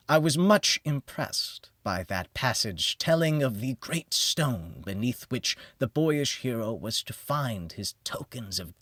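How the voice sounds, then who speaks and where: A man narrating a gothic horror audiobook in the first person, with a theatrical, measured delivery that drops to a hush and swells for emphasis. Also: medium pitch, no echo, clean studio sound.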